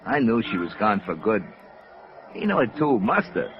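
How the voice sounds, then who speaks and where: A man's voice: lowish voice, sing-song, normal speed. A man acting a talkative, sympathetic filling station attendant in a 1950s radio drama, with casual, slangy talk. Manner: casual, slangy, plain-spoken. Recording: dull old radio recording with music.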